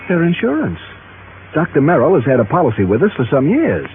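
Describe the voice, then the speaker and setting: A man's voice: a little high, wildly swooping pitch, brisk. An actor playing a fussy, earnest, worrying American insurance man in a 1950s radio drama. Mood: earnest, worried. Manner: fussy, earnest, flustered, precise. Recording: tinny noisy 1950s radio recording.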